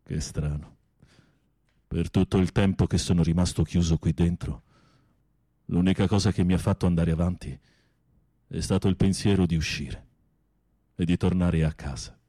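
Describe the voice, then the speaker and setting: An actor in an Italian radio drama playing a sensitive prisoner with a literary, dramatic, expressive way of speaking. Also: male voice, deep voice, moderate pace, long pauses, no echo, studio quality. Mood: melancholy. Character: theatrical, sensitive male prisoner telling melodramatic tales.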